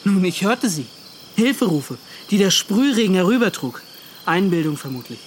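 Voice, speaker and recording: An actor playing a haunted young ship's officer, bitter and agitated, justifying himself in a radio drama monologue. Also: male voice, high voice, very animated, moderate pace, slight background noise. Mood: anguished, pleading. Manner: agitated, self-justifying, rhetorical questioning.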